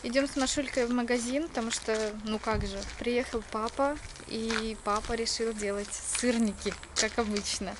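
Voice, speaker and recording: A woman's voice: a little high, steady tone, moderate pace, few pauses. A woman in her thirties with a slightly raised voice and a stuffy nose, chatting on a handheld camera and laughing often as she asks questions. The recording is noisy, with outdoor ambience behind her. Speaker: vlogger filming her family. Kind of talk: casual family vlog chat. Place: outdoors in a summer garden and village lane. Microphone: handheld camera at arm's length. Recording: outdoor camera audio with noticeable background noise.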